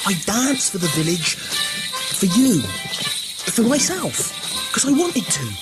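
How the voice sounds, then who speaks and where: An actor playing a dying young village soldier, weary, regretful and defiant in a radio play. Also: male voice, high voice, huge pitch swings, slow, loud music behind the voice, full character voice, rough recording. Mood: wistful.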